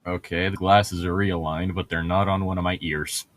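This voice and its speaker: Low-voiced, sweary man reading aloud. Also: little pitch movement, normal speed, no room sound. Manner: sweary, self-interrupting. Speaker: co-host of a book read-aloud show. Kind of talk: reading a novel aloud with casual joking banter.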